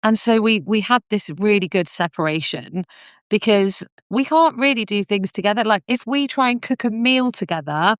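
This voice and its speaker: A woman's voice: mid-range voice, animated, moderate pace, dry recording, studio quality. A British woman telling her story casually as a podcast guest. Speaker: podcast guest, business owner.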